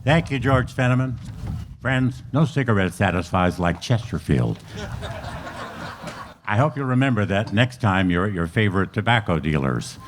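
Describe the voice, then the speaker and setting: A man's voice: on the low side, moderate pace, background noise, natural voice. A man playing a clipped, unflappable police sergeant in an old-time radio crime drama. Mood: smooth sales pitch. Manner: clipped, deadpan, firm. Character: terse, unflappable male police detective sergeant.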